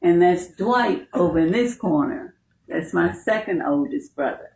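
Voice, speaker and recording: A woman in her seventies from Texas, answering interview questions at home in a soft-spoken way, with brief replies. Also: fairly low pitch, lively intonation, unhurried, creaky, poor audio. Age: 70s. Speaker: interview guest. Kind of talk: casual conversation at home. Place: living room of her home.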